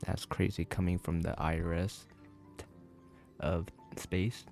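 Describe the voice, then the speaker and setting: A man giving casual, halting and skeptical commentary as he plays a video game. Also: deep voice, level tone, a little mellow, faint music behind. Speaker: gaming YouTuber.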